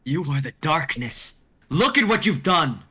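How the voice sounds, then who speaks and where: Man playing a boastful, forceful dragon hunter. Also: fairly high pitch, very animated, unhurried, breathy edge, heavily acted. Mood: defiant. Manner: pompous, declamatory. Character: boastful, hot-headed male dragon hunter. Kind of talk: dramatic script reading.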